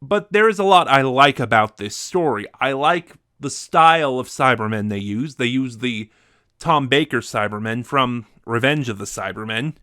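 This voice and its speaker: A man speaks as a reviewer in a casual, conversational style. His delivery is a little halting, as if working out his thoughts aloud, and the sound is dry with little room in it. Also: medium pitch, animated, sharp tone, clean tone, dry recording. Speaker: reviewer ranking favorite audio dramas.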